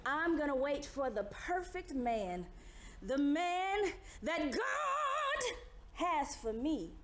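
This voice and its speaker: A woman's voice: high voice, sing-song, takes it slowly, fairly bright tone, exaggerated voice. A woman playing a prim, indignant, principled young hotel worker in a stage comedy, stretching her key words emphatically. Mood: prim, defiant. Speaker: stage actor. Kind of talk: broad comedic stage acting. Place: indoor theater stage. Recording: echoey noisy theater stage.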